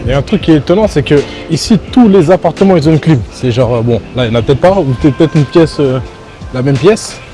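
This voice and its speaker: Fast-talking excitable male vlogger outdoors. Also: fairly high pitch, soft background music. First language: Soninke. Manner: fast, excited, exclaims often. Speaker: vlogger and host. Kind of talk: casual travel vlog narration. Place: outdoors on city streets and in shops.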